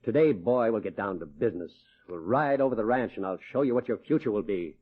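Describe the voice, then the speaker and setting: A man's voice: on the low side, lively intonation, fast talker. An actor playing a stern, middle-aged wealthy rancher father, blunt and commanding, in 1940s radio drama style. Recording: muffled, husky old-time radio recording.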